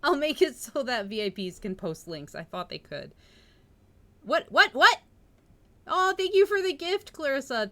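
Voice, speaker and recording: A woman streamer chatting casually and reading a novel aloud, with an excitable, bubbly manner. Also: a little high. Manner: excitable, bubbly, breaking into laughter. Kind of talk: casual livestream chat and book narration.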